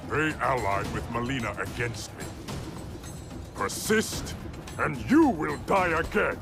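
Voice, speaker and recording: An actor playing a proud, stern warrior emperor with a formal, solemn delivery in a video game cutscene. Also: male voice, mid-range voice, big pitch swings, takes it slowly. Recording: dramatic music behind the voice.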